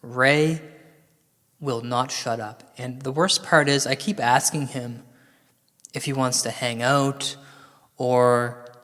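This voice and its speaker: A man's voice: medium pitch, steady tone, slow pace, long pauses, pushed and bright. A man performing a comic recital and monologue in a dramatic, exasperated manner. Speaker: comic storyteller. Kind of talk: theatrical poem recital turning into exasperated monologue. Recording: long reverb, like a large hall.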